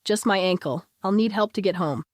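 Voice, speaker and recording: A woman reads as a gritty woman farmer in a science fiction audiobook. She is blunt, terse and defiant, with a mid-pitched voice. The sound is close and very dry. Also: brisk, no echo, close to an everyday voice. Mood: strained, terse. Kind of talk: audiobook character voice.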